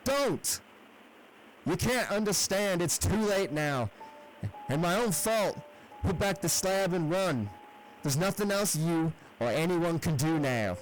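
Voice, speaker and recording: A man puts on a frightened young man trapped below ground, his voice pushed up high and thin. He pleads in hushed, strained tones over soft music. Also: expressive, slow, poor audio. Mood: panicked.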